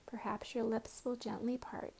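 Woman meditation guide, soft and often whispered, gravelly, flat, unhurried. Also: on the high side.

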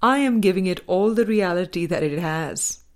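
A woman reading a spiritual book aloud as a scripted audiobook, in measured, deliberate short phrases. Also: lowish voice, lively intonation, darkish tone. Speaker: audiobook reader.

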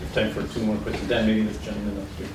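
A man moderating a seminar calls on audience members in a brisk, organizing way. His voice is lowish and reaches the mic from a distance. The hall echoes heavily around him. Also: monotone, heavy background noise. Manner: brisk, organizing, directs the floor. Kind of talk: running audience questions.

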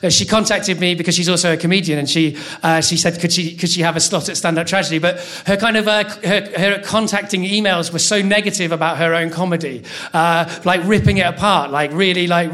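An English man hosting a live comedy night, talking in halting, stammering restarts with self-deprecating humour. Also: high-pitched, steady tone, fairly bright tone. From England. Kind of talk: live stand-up compering. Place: comedy venue stage.

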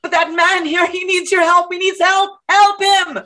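A woman's voice: on the high side, big pitch swings, normal speed, slightly rough. An actress playing an earnest, intellectual woman who challenges authority, speaking articulately and emphatically in dramatic dialogue. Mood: urgent, indignant. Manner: articulate, emphatic, rhetorical questioning. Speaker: actor in a stage play reading.

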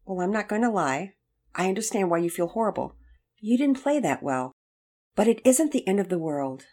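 A woman voicing a calm, firm storybook father who gently admonishes in a measured, plain-spoken way. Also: medium pitch, close to an everyday voice. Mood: calm, frank.

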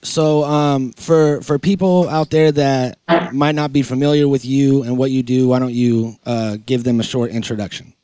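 A man's voice: mid-range voice, level tone, normal speed, hardly any pauses, mellow, smooth, dry recording. A man hosting a podcast, chatting in a rambling, slangy and enthusiastic way. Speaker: podcast host. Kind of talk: casual podcast interview chat.

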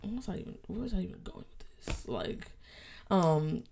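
Young woman, low creaky voice. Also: sing-song, moderate pace, mellow. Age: young adult. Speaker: student. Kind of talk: casual chat.

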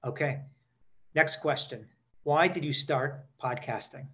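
A man's voice: medium pitch, unhurried, bright, forceful tone, husky, lo-fi sound. A man in his forties hosting a podcast, bantering in a joking, self-deprecating interview style. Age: 40s. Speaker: podcast host.

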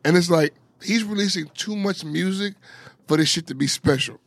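A man's voice: high-pitched, moderate pace. A man steering a casual podcast discussion as host, speaking bluntly and with some exasperation.

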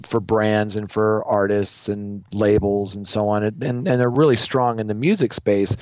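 A man's voice: lowish voice, darkish tone, no room sound. Dull lo-fi recording of a man advising. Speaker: co-presenter. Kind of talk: informal explanatory talk. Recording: dull lo-fi voice track.

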